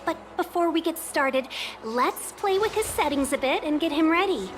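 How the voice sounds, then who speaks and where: A coy, assured young female guide for a video game: high-pitched, very melodic, measured and teasing. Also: animated, faint music behind. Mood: assured, explanatory. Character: poised young woman guide, smooth, coy and businesslike. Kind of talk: video game character dialogue.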